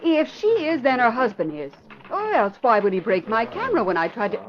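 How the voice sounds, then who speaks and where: An American woman playing a brash, slangy, excitable press photographer in an old-time radio mystery. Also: a little high, a little fast. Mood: excited, indignant. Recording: dull vintage radio recording.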